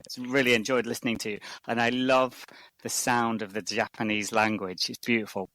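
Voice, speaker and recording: A man's voice: mid-range voice, flat delivery, fairly quick, pushed and bright, no room sound, poor audio. A man co-hosting a podcast, reflecting earnestly and unhurriedly and then reading the show's outro. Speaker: podcast co-host. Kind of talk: casual reflection and outro announcement.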